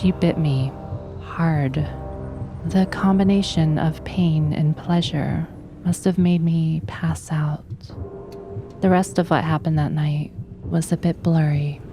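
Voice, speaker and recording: A woman narrating in character in the first person, in a soft, hushed, whispery and reflective voice. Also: low voice, fairly even intonation, takes it slowly, music in the background. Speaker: roleplay gamer narrating her character.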